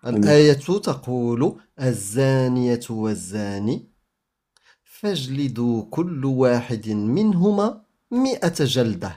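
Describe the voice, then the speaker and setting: A man's voice: medium pitch, sing-song, fairly quick, mellow. A synthetic male voice reading out a verse.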